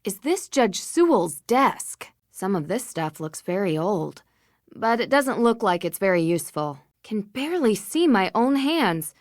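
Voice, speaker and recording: Give this game heroine a youthful, inquisitive spirit. She is a courteous, plucky female detective with an ordinary mid-range pitch. The sound is very dry and close. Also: sing-song, a little slow, no room sound. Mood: curious, matter-of-fact. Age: young adult. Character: curious young American woman detective, polite and plucky. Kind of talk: scripted adventure game dialogue.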